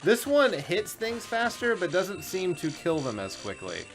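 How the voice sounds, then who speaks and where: A man's voice: high voice, wildly swooping pitch, a little slow. A man co-hosting a game show, giving casual, informal and sweary commentary as he plays. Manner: informal, profane. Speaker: video game show co-host and player. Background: game music.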